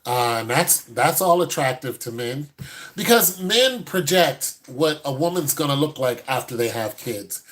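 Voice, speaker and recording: A man's voice: medium pitch, lively intonation, unhurried, a bit bright. A man in his fifties, a host giving blunt, no-nonsense advice to a caller in casual chat. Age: 50s.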